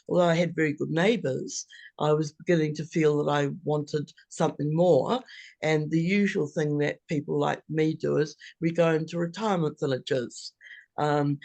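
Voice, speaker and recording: Creaky, low older New Zealand woman. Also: darkish tone, dry recording. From New Zealand, born in England. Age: older, about 70s. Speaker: co-housing founder presenting. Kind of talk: informal personal presentation. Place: video call.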